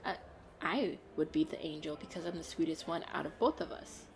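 A woman playing a playful, excitable girlfriend in a chatty roleplay audio, with a bubbly, expressive delivery. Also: deep voice, fairly quick, a little hiss or hum. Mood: excited, teasing. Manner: bubbly, expressive, frequent giggles and exclamations. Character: playful, excitable, pleading girlfriend out costume shopping.

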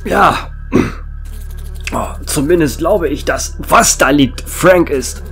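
A man plays a gruff small-town sheriff in a horror audio drama. He gives blunt orders in a fairly high, very animated voice, exasperated and rattled, taking his time over the words with music underneath. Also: slow, full character voice. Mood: shaken, urgent. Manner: commanding, blunt, swearing. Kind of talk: German horror radio play acting.

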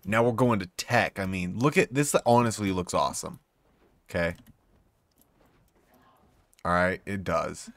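A man with a slightly hoarse, failing voice, casually rambling as he plays and talks to himself and his creatures. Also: low-pitched, unhurried, pauses often, a bit bright, no room sound. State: losing his voice, sore throat. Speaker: gaming YouTuber. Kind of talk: casual gameplay commentary.

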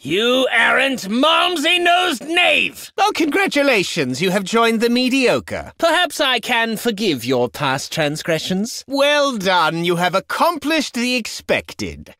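Pompous, high-pitched medieval nobleman. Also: sing-song, unhurried, few pauses, bright, forceful tone, no echo, studio quality, exaggerated voice. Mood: contemptuous, insulting, then grudging, self-satisfied. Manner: pompous, sneering, ornate archaic diction. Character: haughty, contemptuous medieval nobleman lording over peasants. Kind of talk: video game voice lines, mock-archaic battle barks.

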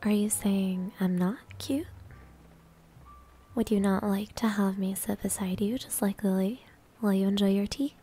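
A woman's voice: mid-range voice, fairly even intonation, moderate pace, lightly acted. A woman playing a confident, playful senior maid who teases and flirts, in an anime-style ASMR roleplay. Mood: teasing. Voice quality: breathy, soft. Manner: coy, teasing. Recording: music behind the voice.

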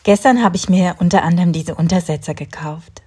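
A woman in her thirties calmly narrating a home cleaning routine in a voice-over, giving step-by-step tips straight to the viewer. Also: lowish voice, a little fast, breathy edge. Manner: calm, instructive, talks directly to the viewer. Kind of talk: calm scripted voice-over narration.

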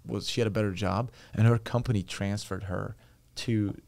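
A man in his thirties with a Turkish accent, his voice a little low and gravelly with a fry at the ends. He talks in a relaxed, rambling way, full of fillers, as a guest on a podcast. The sound is dry and close, from a studio boom mic. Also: moderate pace, dry recording. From Turkey. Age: 30s. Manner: relaxed, chatty storytelling with frequent fillers. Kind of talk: casual interview chat. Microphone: studio boom mic with headphones.

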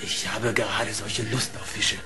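An actor playing an accusing wolf vassal in a fairy-tale audio play, telling things in a formal, plaintive way. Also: male voice, fairly low pitch, steady tone, a little slow, over music, close to an everyday voice. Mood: aggrieved. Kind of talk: German fairy-tale radio play acting.

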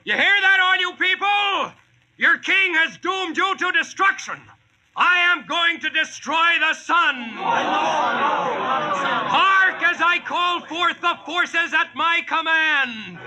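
A man's voice: very high voice, sharp tone, a little hiss or hum, full character voice. A man acting a brash, slangy modern American stranded at King Arthur's court in a 1940s radio drama. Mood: booming proclamation. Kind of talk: 1940s radio acting with first-person narration. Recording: vintage 1940s radio recording, music behind.

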